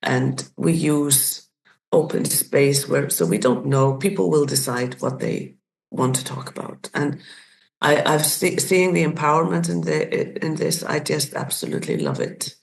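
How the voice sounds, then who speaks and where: A woman's voice: low voice, big pitch swings, measured pace, vocal fry, a little hiss or hum. A woman in her sixties from Iceland, telling her life story thoughtfully as a podcast guest. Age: 60s. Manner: thoughtful, restarts and searches for words. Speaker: guest, community facilitator. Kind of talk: reflective personal story.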